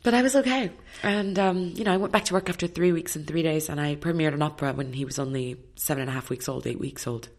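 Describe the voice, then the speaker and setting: A woman in her thirties, an opera singer, talking as an interview guest. Her voice is slightly husky today, and she tells stories in a reflective, lively way with plenty of fillers. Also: low-pitched, fast, hardly any pauses. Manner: reflective, animated storytelling with many fillers. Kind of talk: casual interview chat.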